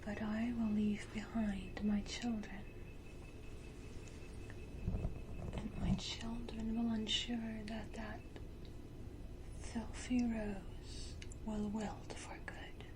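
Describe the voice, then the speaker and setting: A woman voicing a menacing, tenderly cruel vampire matriarch in a slow, breathy, theatrical whisper for an ASMR roleplay. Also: medium pitch, little pitch movement, background noise, close to an everyday voice. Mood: cold, vengeful.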